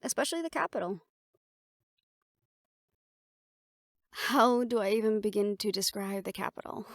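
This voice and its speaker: A woman voicing a playful, chatty half-siren, half-banshee in an intimate audio roleplay, talking casually and haltingly to a listener. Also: medium pitch, a bit bright, no echo, studio quality, close to an everyday voice. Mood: wistful, chatty.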